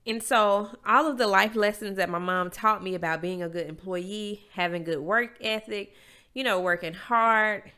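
A young adult woman hosts her own podcast, talking straight to the listener in a candid, emphatic way. Her voice is smooth and resonant despite a stuffy, congested nose, and sits at an ordinary middle pitch. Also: bright, forceful tone. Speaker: podcast host. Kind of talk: solo podcast monologue.

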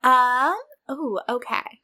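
A woman's voice: high voice, extremely slow, pushed and bright. A woman co-hosting a casual podcast, bantering with self-deprecating humour. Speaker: podcast co-host.

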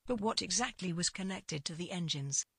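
Husky low-voiced woman narrating fiction. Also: lively intonation, moderate pace, fairly bright tone, dry recording, studio quality. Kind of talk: scripted literary fiction narration.

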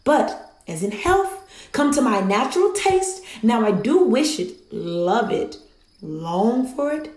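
Woman as an earnest, slow-spoken young suitor. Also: a little high, sing-song, darkish tone. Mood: earnest, yearning. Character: earnest, lovestruck young Athenian noble suitor, male. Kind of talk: Shakespearean radio drama. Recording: echoey, roomy sound.

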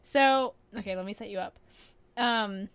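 A woman's voice: mid-range voice, a little slow, fairly bright tone, smooth, no echo. A woman interviewing on a casual podcast in an informal, chatty, encouraging way.

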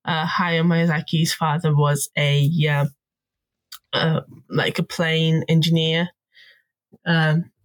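A woman co-hosting a casual podcast, chatty and giggly, with a husky voice from a cold. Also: deep voice, level tone, takes it slowly, no echo. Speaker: podcast co-host.

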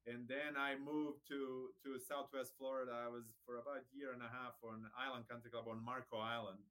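Bright-voiced Serbian man telling his story. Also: mid-range voice, flat delivery, fairly quick. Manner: friendly, matter-of-fact storytelling with frequent restarts. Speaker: guest, racquet sports director. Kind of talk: casual interview chat.

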